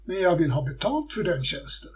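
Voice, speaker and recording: A man's voice: a little high, very animated, husky, full character voice. A man voicing a bold, cheeky servant girl in a folktale, pert and confident. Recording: muffled phone-like audio.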